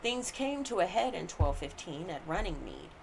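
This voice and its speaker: A woman reads a scripted legal and historical commentary aloud in a deliberate, formal manner. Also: on the low side, very animated, gravelly, poor audio. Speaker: reader of a book on the US Constitution. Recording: noticeable background noise.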